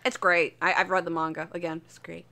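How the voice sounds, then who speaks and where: A woman's voice: on the low side, flat delivery, a little fast, pushed and bright. A woman co-hosting a casual podcast, speaking hesitantly and apologetically, with casual swearing. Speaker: podcast co-host.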